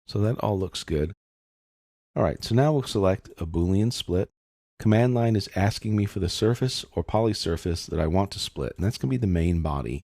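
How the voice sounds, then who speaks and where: A man narrating a software tutorial in a casual, methodical way, explaining each step as he goes. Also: sits low, lively intonation, normal speed, creaky, no echo, clean studio sound. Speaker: software instructor.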